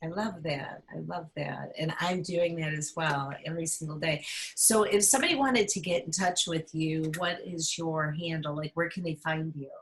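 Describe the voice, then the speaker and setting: A woman's voice: sits low, normal speed. A woman hosting a casual interview over a video call. She sounds encouraging and laughs readily. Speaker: host.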